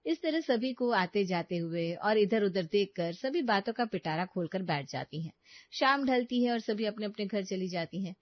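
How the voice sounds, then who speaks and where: A woman's voice: medium pitch, animated, moderate pace, no echo, highs cut off. A woman narrating a scripted story as its storyteller.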